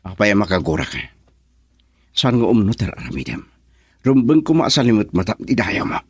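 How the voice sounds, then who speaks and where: An earnest, reproachful suitor in a radio drama. The voice is deep and husky, with very expressive pitch and an admonishing way of talking. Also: male voice, brisk, no echo, studio quality, full character voice. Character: earnest, reproachful young male suitor, Jaramil.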